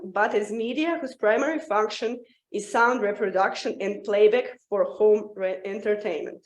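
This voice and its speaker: Higher-pitched woman reading an academic paper, echoey. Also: a little mellow. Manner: halting delivery, stumbling over words and restarting them. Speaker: academic presenter at a symposium. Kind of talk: scripted academic paper read aloud.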